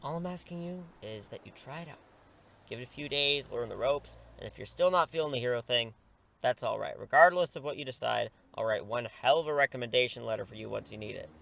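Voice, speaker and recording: A man's voice: mid-range voice, fast, vocal fry, fairly bright tone. An actor in an audio drama playing an earnest, persuasive teacher in his thirties who is secretly a superhero, pleading and wryly self-deprecating. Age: 30s.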